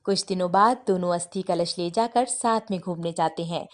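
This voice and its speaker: A woman's voice: fairly low pitch, moderate pace. A woman reading scripted narration for a family story.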